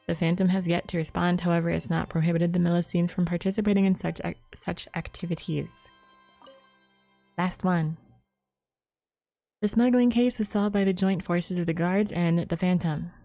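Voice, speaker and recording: A woman's voice: fairly low pitch, steady tone, moderate pace, vocal fry, mellow, soft background music. A woman game streamer casually reading game text aloud, stumbling over names as she goes.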